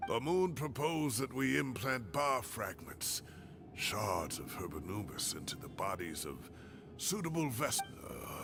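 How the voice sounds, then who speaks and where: A man playing a weary, guilt-laden older professor in a video game, halting and sometimes breathy, with music under the voice. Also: low-pitched, full character voice. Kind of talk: scripted video game drama.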